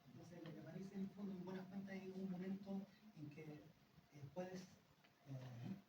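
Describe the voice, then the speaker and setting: A man's voice: high voice, a little slow. A man lecturing at a seminar, answering questions with hesitant restarts and frequent tag questions. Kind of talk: academic Q&A. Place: seminar room. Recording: roomy, distant mic, noisy.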